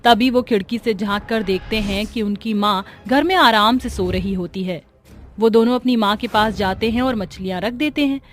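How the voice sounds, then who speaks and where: A woman's voice: mid-range voice, normal speed, faint music behind. A woman giving scripted narration for a cartoon story.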